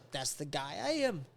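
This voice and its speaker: A man voicing a weary, self-pitying boat builder in a slow, put-on European accent, drawn out and plaintive. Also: fairly high pitch, gravelly, fairly bright tone, pitched below the performer's own voice, exaggerated voice. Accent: fake slow European accent.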